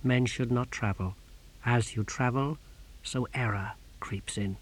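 A man playing a cold, pious inquisitor in an audiobook, speaking in a hushed, measured and menacingly courteous way. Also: medium pitch, close to an everyday voice. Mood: coldly pious. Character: cold, sinister pious male inquisitor of high rank.

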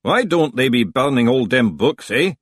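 Man doing a loud, grumbling little heckler. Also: mid-range voice, big pitch swings, moderate pace, husky, no room sound, clean studio sound, voice pushed up. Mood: grumbling, rude. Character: small hidden grumbling Scottish heckler, rude and belligerent.

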